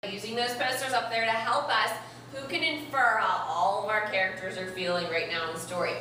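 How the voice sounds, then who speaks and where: A young woman teaching an interactive read-aloud to young children, expressive and instructive, stressing key words slowly. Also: medium pitch. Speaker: primary school teacher. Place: elementary classroom, indoors. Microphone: far camera. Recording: roomy, noisy classroom, distant camera microphone.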